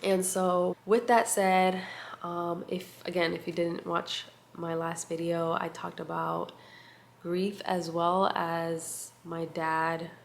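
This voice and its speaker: A woman, talking to the camera in a casual catch-up vlog. She sounds nasal and congested, and she speaks softly and reflectively with many pauses and fillers. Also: lowish voice, little pitch movement, vocal fry, slight background noise. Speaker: vlogger. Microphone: compact camera mic.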